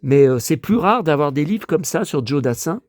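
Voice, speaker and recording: An older man from France with a hoarse voice, grumbling and talking to himself as he shows his latest finds to viewers in a casual monologue. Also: a little high, expressive, moderate pace, darkish tone, no echo. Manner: grumbling, exasperated, talks to himself. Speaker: vlogger showing flea-market finds.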